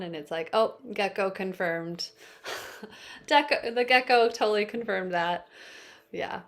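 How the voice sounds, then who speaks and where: A woman from Hawaii leading an online class call, gentle and affirming, laughing easily. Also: on the low side. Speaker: course teacher. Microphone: video call.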